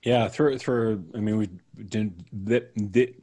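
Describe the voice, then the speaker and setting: A man hosting a casual group chat with a polite, gently mock-stern way of joking. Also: fairly low pitch, steady tone, slow, husky. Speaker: podcast host.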